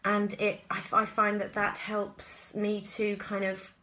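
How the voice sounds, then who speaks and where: English female, bright tone, actress in informal live Q&A, chatty and rambling. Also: medium pitch, monotone, takes it slowly. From southwest England. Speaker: actress answering listener questions. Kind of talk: casual live Q&A chat.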